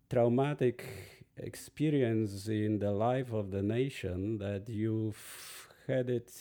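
A man in his sixties from Poland with a Polish accent, a podcast guest slowly and thoughtfully recalling his past. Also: lowish voice, mellow. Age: 60s. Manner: measured, thoughtful, pauses and restarts. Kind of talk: slow reflective storytelling.

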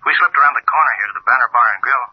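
An actor playing an eager, smitten newspaper reporter with a husky, excitable, breezy delivery over a telephone, in 1940s radio drama style. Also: male voice, mid-range voice, rapid-fire, no room sound. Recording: dull, dry 1940s radio recording.

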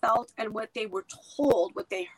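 Blunt, emphatic woman, slightly high voice. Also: normal speed, dry recording. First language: Hebrew. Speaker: podcast guest. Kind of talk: casual podcast conversation.

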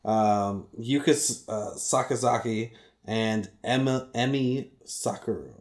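A man's voice: medium pitch, takes it slowly. A man co-hosting a casual podcast, easygoing, laughing often and stumbling over names. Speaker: podcast co-host.

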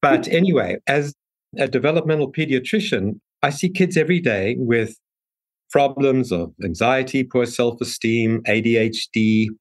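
A man with a South African accent, explaining things earnestly and in a measured way as an interview guest. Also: mid-range voice. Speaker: podcast guest, developmental pediatrician.

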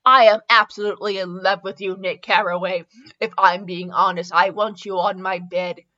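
A woman playing a rich, smitten old-time gentleman with a transatlantic accent, theatrical and ardent in romantic dialogue. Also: medium pitch, fairly even intonation, moderate pace, highs cut off, pitched below the performer's own voice, lightly acted.

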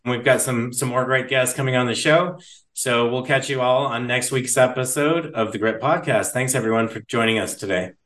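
A man's voice: medium pitch, brisk, a bit bright. A man hosting a business podcast, interviewing a guest in a casual, thinking-aloud way with frequent restarts. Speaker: podcast host.

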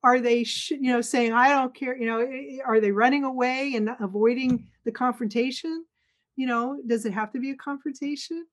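A woman's voice: high-pitched, steady tone, a little fast. A middle-aged woman guest in an interview, talking earnestly and emphatically and acting out what others say. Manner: earnest, emphatic, acts out quotes. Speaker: guest speaker. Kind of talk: conversational interview.

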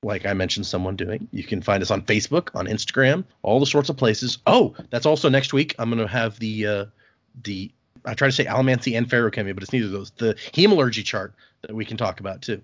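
Male podcast host, mid-pitched, loose and rambling, recorded dull and dry. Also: fast talker, no room sound. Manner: rambling, restarts phrases, laughs often. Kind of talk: casual chat. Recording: dull, muffled podcast audio.

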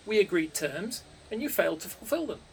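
A man's voice: sits high, very animated, fairly quick, vocal fry, a little hiss or hum, pitched above the performer's own voice, heavily acted. A man voicing a cold male crime boss who gives clipped, authoritative orders, recorded a bit distant from the mic. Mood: commanding.

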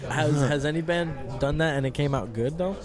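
A man's voice: fairly high pitch, normal speed. A man hosting a casual live interview in a pub, laid-back and swearing freely. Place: crowded live music pub. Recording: noisy crowded pub. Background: crowd chatter.

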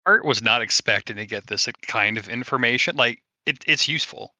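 A man playing a tabletop roleplaying game, casually describing his character's thoughts in a halting, thinking-aloud way. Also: mid-range voice, sharp tone, no room sound, clean studio sound.

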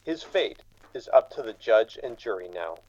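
Man playing authoritative prosecutor, stern, formal, medium pitch, dry slightly muffled radio drama sound. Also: sing-song, moderate pace, a little mellow, slight background noise, lightly acted. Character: stern, formal male crown attorney making an arrest.

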